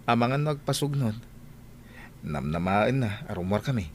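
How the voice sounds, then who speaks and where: Actor as low-voiced young Ilocano boyfriend. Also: male voice, lively intonation, moderate pace, slight background noise, close to an everyday voice. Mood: casual, defensive. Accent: Ilocano Filipino. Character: young man courting, smooth and devoted boyfriend. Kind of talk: Ilocano radio drama acting.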